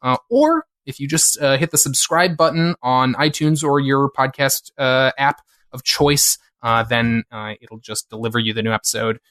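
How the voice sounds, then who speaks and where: A man co-hosting a podcast in casual chat, halting and rambling with lots of restarts. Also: medium pitch, a bit bright. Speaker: podcast co-host.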